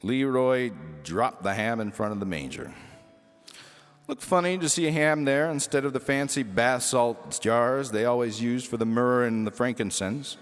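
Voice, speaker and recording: A man reading a humorous children's story aloud expressively, with dramatic pauses and emphasis. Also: medium pitch, smooth, long reverb tail, soft background music.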